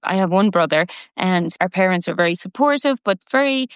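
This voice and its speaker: A woman's voice: lowish voice, expressive, a little fast, smooth, no echo, studio quality. A young Irish woman with a Midlands accent, chatting as a podcast guest with easy fillers and restarts. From Ireland. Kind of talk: casual interview chat.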